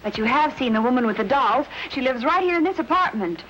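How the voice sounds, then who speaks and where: Persistent amateur-detective wife in vintage radio mystery. High voice, hesitant courtesy, muffled, slightly noisy sound. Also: female voice, expressive, fairly quick, fairly bright tone. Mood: challenging. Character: nervous but persistent amateur sleuth, a wife. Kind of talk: 1940s-50s radio mystery acting. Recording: dull, noisy old radio recording.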